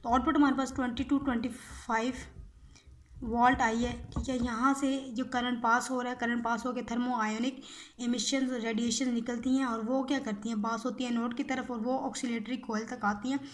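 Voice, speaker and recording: High-pitched young woman teaching informally. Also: flat delivery, normal speed. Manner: repetitive, asks and answers own questions; mixes English technical terms into speech. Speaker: physiotherapy teacher. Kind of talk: informal explanatory lecture.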